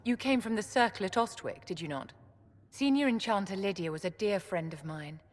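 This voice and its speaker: An actress playing a grave, dignified senior mage in a video game, speaking formally and deliberately. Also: female voice, medium pitch, lightly acted. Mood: grave, probing. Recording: reverberant hall.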